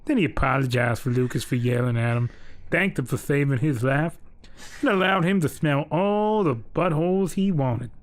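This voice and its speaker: Casting: male actor as jolly, crude Southern bedtime storyteller, higher-than-average pitch, rambling homespun delivery. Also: normal speed, lightly acted. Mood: folksy, crude. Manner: folksy, ungrammatical, rambling asides. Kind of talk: mock children's bedtime story narration.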